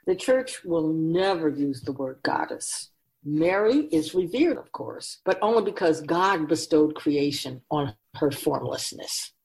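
A woman playing a wise, teasing, ageless female spirit guide in a radio drama, speaking in a measured, knowing way. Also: low-pitched, animated, darkish tone, poor audio. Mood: earnest, instructive. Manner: measured, knowing, rhetorical questioning.

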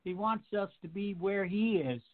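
A man in his sixties preaches with a fairly high voice, piling up repeated phrases and firing off rhetorical questions at his listeners. It sounds like a narrow, tinny phone line. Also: normal speed. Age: 60s. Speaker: pastor. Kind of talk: radio sermon.

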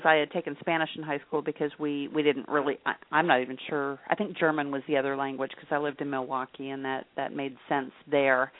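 A woman's voice: sits low, no room sound. A woman hosting an interview, chatting and telling stories in a loose, talkative way with many restarts. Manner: chatty, storytelling, frequent restarts. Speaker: host. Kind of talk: casual interview talk. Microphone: phone. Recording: tinny phone line.

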